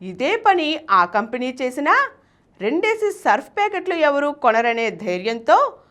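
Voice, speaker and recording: A woman narrating a scripted story as a show host, in an expressive, emphatic storyteller's delivery. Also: sits high, unhurried. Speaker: host and reader of a business success story show.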